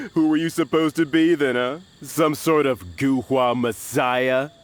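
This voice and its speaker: A sneering thug, swinging wildly in pitch as he mocks and threatens with a cackle in a game scene. A faint hiss lies under it. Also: lively intonation, slow pace. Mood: mocking. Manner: mocking, cackling. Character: sneering adult male thug, bullying.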